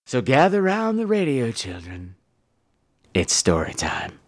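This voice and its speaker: A man's voice: medium pitch, huge pitch swings, a little slow. A man hosting a podcast in a casual comic monologue, theatrical and profane, often interrupting himself. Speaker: podcast host.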